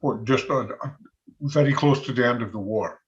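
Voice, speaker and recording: An older Scottish man talks informally about history in a halting, conversational manner. His voice sits at a middling pitch, and the recording is dull and lo-fi. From Scotland. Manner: halting, conversational, chuckles often. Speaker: military historian and former soldier. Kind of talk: informal talk on military history. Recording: dull, lo-fi audio.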